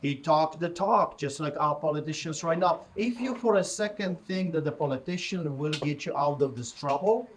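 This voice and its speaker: A man's voice: fairly high pitch, animated, moderate pace, few pauses, a little hiss or hum. A middle-aged man with a Polish accent, Polish his first language, preaching fiery and mocking to a crowd. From Poland. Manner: forceful, mocking, rising to shouts. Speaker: street pastor.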